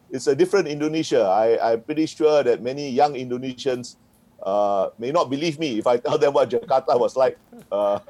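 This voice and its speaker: A man's voice: medium pitch, mellow. A man, a retired diplomat answering interview questions in a frank, wry way, often chuckling. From Singapore. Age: 60s.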